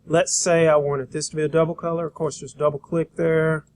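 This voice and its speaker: A man giving an informal software tutorial as an instructor, folksy and halting as he thinks aloud while demonstrating. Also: a little high, flat delivery, slight background noise. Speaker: graphic design instructor.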